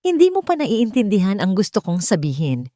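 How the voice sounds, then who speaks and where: A woman's voice: medium pitch, wildly swooping pitch, a little fast, dark tone, dry recording, clean studio sound. A woman voicing a desperate, commanding millionaire boss in an audiobook, serious, weighty and insistent. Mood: grave, insistent.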